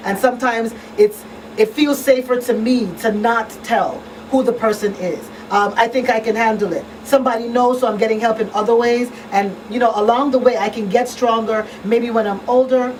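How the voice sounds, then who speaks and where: A woman's voice: fairly high pitch, fairly even intonation, normal speed, a little mellow, distant mic. A woman talking conversationally as a panelist on a radio talk show in a small studio. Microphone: studio microphone on boom arm. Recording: roomy off-mic sound, faint background noise.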